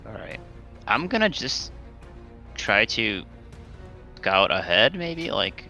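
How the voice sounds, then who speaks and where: Deep, very bright male tabletop player. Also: big pitch swings, takes it slowly, vocal fry, lo-fi sound, faint music behind. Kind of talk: casual game-table chat.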